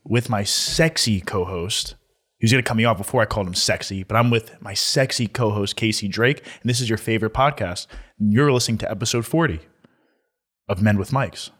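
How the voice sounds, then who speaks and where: A man's voice: lowish voice, brisk, a bit bright, breathy edge. A man co-hosting a podcast in casual chat, teasing and laughing often. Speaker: podcast co-host.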